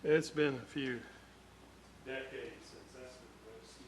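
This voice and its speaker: A man narrates hands-on restoration work casually, in short unhurried phrases muttered as he goes. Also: medium pitch, fairly even intonation. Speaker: radio restorer hosting a repair video. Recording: clearly noisy workshop recording with clicks.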